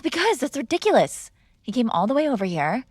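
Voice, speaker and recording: A woman's voice: high-pitched, very animated, fairly quick, breathy edge, dry recording. Exasperated young woman, dry close voice. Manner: exasperated, sarcastic and defiant. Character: bratty mob boss's daughter arguing with her father. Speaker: audio roleplay performer. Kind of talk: scripted ASMR girlfriend roleplay.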